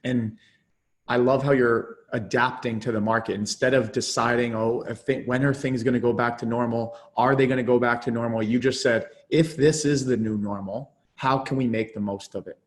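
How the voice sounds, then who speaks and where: A man's voice: medium pitch, steady tone, moderate pace. A man hosting a podcast interview, enthusiastic and praising as he sums up his guest's answers. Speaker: podcast host.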